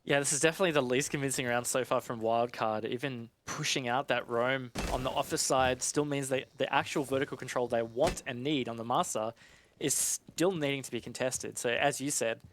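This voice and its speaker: A man's voice: medium pitch, brisk, background noise. A man working as an esports commentator, giving live analysis in a conversational, explanatory way.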